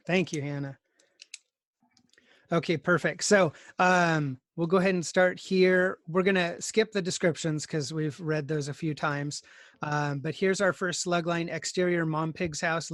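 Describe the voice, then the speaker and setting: A man's voice: fairly high pitch, dry recording, clean studio sound. A man teaching conversationally on a video call, organizing his students with polite encouragement. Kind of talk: conversational online class instruction.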